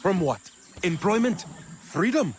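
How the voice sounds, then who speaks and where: High, animated, defiant chained labourer. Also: male voice, a little slow, slight background noise. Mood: startled, indignant. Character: stoic, defiant male labourer in chains, longing for freedom. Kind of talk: video game character acting.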